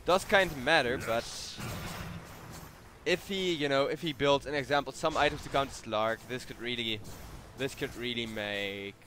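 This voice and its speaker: A man's voice: mid-range voice, normal speed, bright, forceful tone, a little hiss or hum. Tired young German man casting a game. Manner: chatty, tired, answers chat between plays. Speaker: esports caster. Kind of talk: solo live game commentary.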